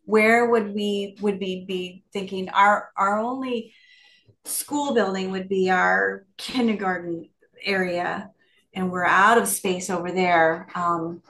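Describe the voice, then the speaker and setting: A woman's voice: mid-range voice, steady tone, slow, a bit bright. A middle-aged woman, a school district administrator, asking questions on a video call and thinking aloud hesitantly. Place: office with windows and bookshelves. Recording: roomy office echo.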